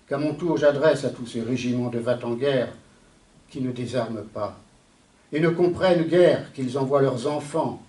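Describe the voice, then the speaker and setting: A man recites poetry in a solemn, declamatory way, in measured phrases with deliberate pauses. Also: medium pitch, darkish tone. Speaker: poet reciting a poem. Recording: echoey, distant from the mic.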